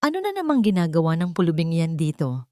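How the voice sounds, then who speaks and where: A woman narrator plays a rich old mother-in-law in an audio drama. She is haughty and venomous, speaking with sharp contempt in a dry, close recording. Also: lowish voice, very animated, fast, no echo. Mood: venomous scorn.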